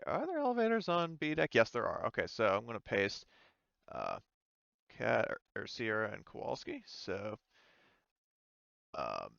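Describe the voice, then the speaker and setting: A man running a tabletop game as game master, narrating casually and hesitantly as he thinks out loud. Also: mid-range voice, wildly swooping pitch, a little slow, gravelly, pauses often, clean tone, no echo. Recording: slightly dull, dry close recording.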